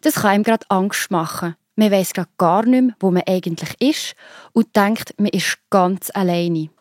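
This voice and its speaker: A woman's voice: mid-range voice, unhurried, no room sound, clean studio sound. A woman with a Swiss German accent telling a story to children, explaining it simply and expressively. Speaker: narrator. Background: music.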